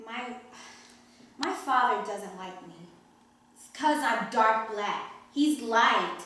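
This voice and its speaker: A young woman in a staged play reading, playing a bright, chatty, boastful twelve-year-old girl from Trinidad. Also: fairly high pitch, slow. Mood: confiding. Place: wood-panelled mansion room, indoors. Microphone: distant camera microphone. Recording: very echoey room, distant mic, faint hiss.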